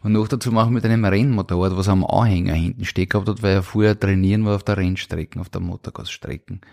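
A man's voice: sits low, fairly even intonation, fast talker, vocal fry, darkish tone. A middle-aged man with an Austrian accent, casually telling stories from his own life in an interview. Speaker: interview guest. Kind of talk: casual autobiographical storytelling.

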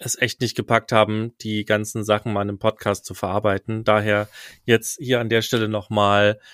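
A man's voice: on the low side, flat delivery, a little fast, fairly bright tone, dry recording, studio quality. A man co-hosting a casual podcast, talking in a loose, rambling way about travel and listener questions. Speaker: podcast co-host.

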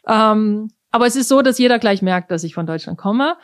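A woman's voice: mid-range voice, animated, a little fast. A middle-aged woman from eastern Germany, a cellist being interviewed, speaking in a thoughtful way with many fillers and restarts. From East Germany. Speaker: orchestra cellist, interview guest. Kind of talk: casual conversational interview.

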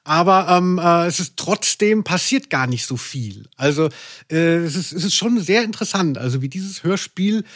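A male podcast co-host chatting casually and humorously, with halting phrasing and wry asides. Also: a little high, animated, fairly bright tone, no room sound, clean studio sound.

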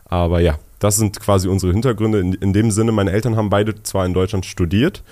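A man's voice: deep voice, fairly even intonation, a little fast, dark tone. A young man from Germany co-hosting a casual podcast conversation, talking in short, restarted phrases with many fillers. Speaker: podcast co-host.

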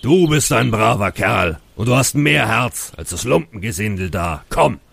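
A man voicing a huge, hearty giant from a storybook, gruff but kindly, with a blunt, commanding way of talking. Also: on the low side, lively intonation, moderate pace, fairly bright tone, no echo, pitched above the performer's own voice, heavily acted. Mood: gruffly kind.

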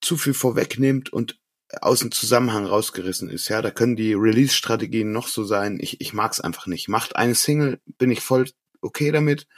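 Male podcast co-host, raspy low-leaning voice, dry close sound, opinionated, insistent, casual. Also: a little fast, dry recording. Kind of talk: casual music discussion.

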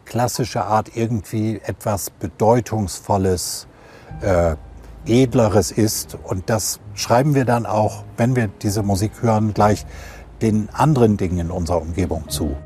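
Low-pitched male professor explaining. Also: measured pace, mellow, slight background noise. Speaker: music psychology professor. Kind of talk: expert explanation in an interview clip.

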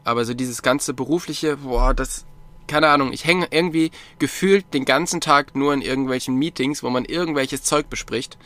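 A man's voice: mid-range voice, moderate pace, bright, forceful tone. A man chatting casually as a podcast co-host about cycling, rambling with many fillers and restarts. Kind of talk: casual chat.

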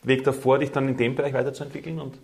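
A man's voice: mid-range voice, level tone, rapid-fire, creaky. A man asking questions as an interviewer in a casual, conversational style.

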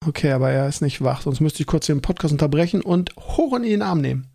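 Higher-pitched man, chatty podcast host. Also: animated, fast talker, vocal fry, warm tone. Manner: rambling, self-interrupting, colloquial. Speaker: school teacher. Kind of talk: casual solo podcast monologue.

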